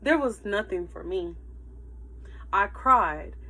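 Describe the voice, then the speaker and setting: A woman teacher reading a story aloud to children in an animated, emphatic way, with asides to her listeners. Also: mid-range voice, takes it slowly.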